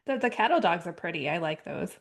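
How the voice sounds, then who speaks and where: A woman's voice: fairly low pitch, animated, quick pace. A woman in her thirties interviewing a guest, asking short, friendly questions. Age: 30s. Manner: friendly, asks short questions, joins in playfully. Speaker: podcast interviewer. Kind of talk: casual interview.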